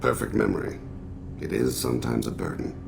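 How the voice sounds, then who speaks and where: An actor playing a solemn, regretful alien assassin and father in a video game, speaking in measured, formal, introspective phrases with a gravelly voice. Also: big pitch swings, noisy background, full character voice. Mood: quiet, troubled. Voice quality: very deep, heavily gravelly. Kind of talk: video game dramatic dialogue.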